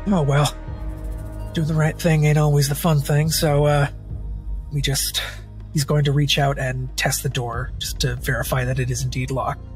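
A man's voice: on the high side, level tone, normal speed, soft background music. A man playing a tabletop roleplaying game, casually switching between folksy in-character talk and describing his character's actions.

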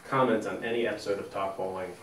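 A fairly low-voiced man co-hosts a casual show and moves briskly between topics. He was recorded on a lapel mic in a small studio. The sound has a roomy echo and slight distance, with a faint hiss underneath. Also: fairly even intonation, fairly bright tone. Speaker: co-host of a bowling advice show. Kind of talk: casual show hosting and chat. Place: indoors, small studio against a plain backdrop.

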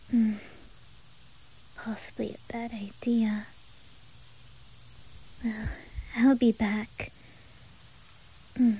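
A woman voicing a shy, curious nine-year-old boy in an ASMR roleplay, with an attempted Swedish accent and a soft, often whispered, hesitant delivery. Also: on the high side, husky, heavily acted, low-quality recording. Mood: wary, curious. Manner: hesitant, stammering, slow.

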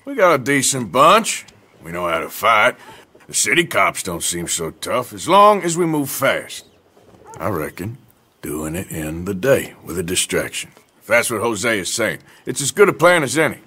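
A loyal American gunslinger in a western game. His voice is on the low side and hard-edged, with lively swings under a matter-of-fact delivery. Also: normal speed, slightly rough, exaggerated voice. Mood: resigned, practical. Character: rugged male outlaw gunman in his thirties, loyal, plainspoken. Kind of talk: dramatic video game acting.

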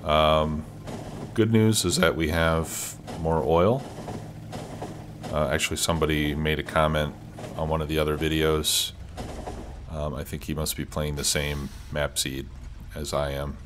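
A man's voice: a bass voice, flat delivery, measured pace, noisy background. A man with a stuffed-up cold, casually commenting on his gameplay as a let's play host, thinking aloud with many fillers. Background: background music and keyboard typing.

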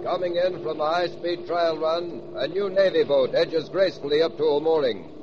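A man's voice: a little high, moderate pace. A man working as an announcer, reading scripted lines in the style of 1940s radio. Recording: noisy, muffled old radio recording. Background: loud noise.

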